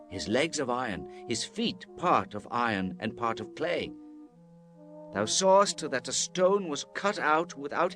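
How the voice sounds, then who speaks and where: A man playing a devout Hebrew prophet in a dramatized scripture reading, solemn, fervent and declamatory, with dramatic pauses. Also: on the high side, a bit bright.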